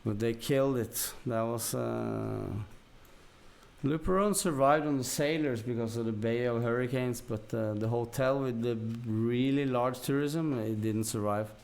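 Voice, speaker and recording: A man's voice: mid-range voice, a little slow, gravelly, a little mellow. A man with a Norwegian accent telling stories casually as a podcast guest, in a rambling, blunt, dry-humored way. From Norway. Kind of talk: casual storytelling.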